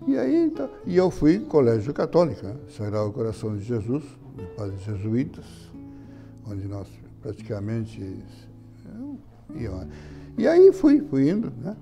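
An older man answering interview questions in a halting way, often repeating his words and chuckling. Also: mid-range voice, huge pitch swings, vocal fry, music in the background. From Brazil. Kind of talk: reminiscing interview talk. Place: indoor room beside an altar.

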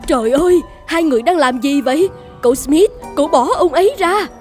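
A woman playing an exasperated, plain-spoken village housewife in an animated fairy tale, protesting and talking back. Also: high voice, fairly quick, soft background music. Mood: upset.

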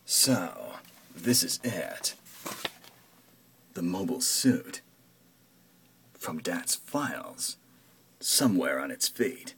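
A man voicing an excitable, panicky young man fumbling inside a war robot in a hammy comic-book read-aloud, melodramatically muttering and exclaiming. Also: low-pitched, drawn-out words, gravelly, long pauses, a little hiss or hum, exaggerated voice. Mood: tense muttering.

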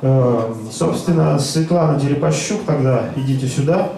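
A middle-aged man hosting and reciting poetry live in a loud, declamatory way. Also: mid-range voice, moderate pace, vocal fry, slight background noise. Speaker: host and poet. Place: rock club stage and floor, indoors. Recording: echoey club hall, distant camera microphone.

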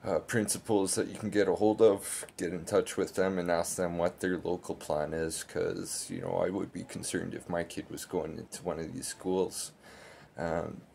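A man in his thirties vlogs about local politics from a small bedroom, speaking into a close camera. His voice is deep and flat, with a gravelly edge. A faint hiss sits under the recording. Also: normal speed. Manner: loose, filler-heavy, critical. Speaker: political vlogger. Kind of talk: casual political commentary to camera.

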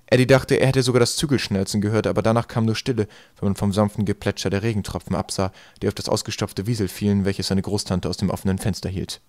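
A man's voice: fairly low pitch, fairly even intonation, quick pace, hardly any pauses, a little mellow, no room sound. A man narrating a storybook as a scripted audiobook reading. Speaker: audiobook reader.

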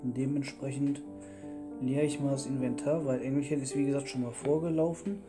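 A man comments casually on a game as he plays. His voice sits at an average pitch. Guitar music plays clearly behind his talk. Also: steady tone, a little fast. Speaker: let's player. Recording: loud guitar music underneath.

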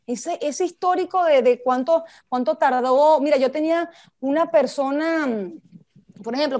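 High-pitched Venezuelan woman giving a lesson. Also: a little mellow. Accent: Venezuelan Spanish. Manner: conversational, rhetorical questions to listeners. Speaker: project management instructor and speaker. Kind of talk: informal slide lecture.

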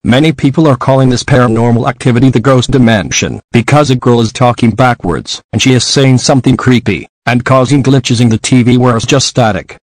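A man reading an emergency alert in a flat monotone. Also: mid-range voice, brisk, few pauses, no room sound, poor audio. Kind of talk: scripted emergency broadcast reading.

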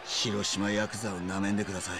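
Man playing a brash, low-voiced young gangster. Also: little pitch movement, quick pace, close to an everyday voice. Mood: confident. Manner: brash, informal, defiant. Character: cocky, hot-headed young male yakuza underling. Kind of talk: video game cutscene acting. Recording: noisy crowd in the background.

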